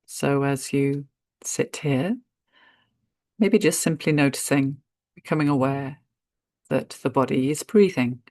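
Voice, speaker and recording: Female meditation guide, very slow gentle delivery with spacious pauses, dry recording. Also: very deep voice, dry recording. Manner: unhurried, gentle, spacious pauses between short phrases.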